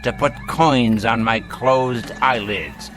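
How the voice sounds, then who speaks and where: An actor playing a dying, rich old patriarch, bitter and commanding, speaking with theatrical, biting deliberation in a radio drama. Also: male voice, medium pitch, slow, music in the background, lightly acted. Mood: bitter, scornful. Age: older.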